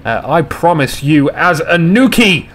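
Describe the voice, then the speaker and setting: A man casually commentating on a game replay, slightly hoarse, rambling and joking with his viewers. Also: a little high, big pitch swings, measured pace, fairly bright tone. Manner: rambling, jokey, chats to viewers.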